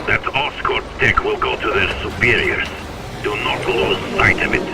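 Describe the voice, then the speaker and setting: An actor playing a calm, menacing older hunter who mentors the hero in a video game, speaking in a measured, commanding way with a deep gravelly voice. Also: male voice, over loud music, close to an everyday voice. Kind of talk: video game cutscene dialogue.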